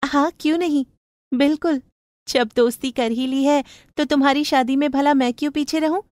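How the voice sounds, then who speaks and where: A woman voicing a cheerful, grateful young village woman in an animated moral story, with a friendly, earnest manner. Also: sits high, moderate pace, no room sound, studio quality, pitched above the performer's own voice, lightly acted.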